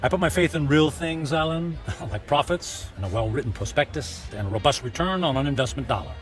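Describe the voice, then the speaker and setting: A man's voice: on the high side, lively intonation, a little fast, faint music behind, natural voice. An actor playing a smug, ruthless, self-absorbed businessman in a radio drama, sarcastic and dryly mocking. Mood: amused, dismissive.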